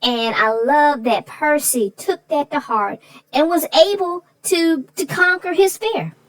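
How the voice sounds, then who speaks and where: A woman's voice: high voice, lively intonation, unhurried, no echo, low-quality recording. A woman co-host chatting casually about a children's story, folksy and full of sayings.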